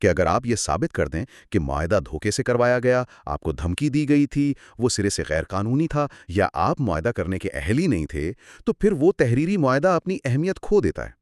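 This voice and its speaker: A man co-hosting a scripted explanatory discussion, speaking in a measured, emphatic, didactic way. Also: mid-range voice, very animated, darkish tone, no room sound, clean studio sound. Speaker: co-host explaining law.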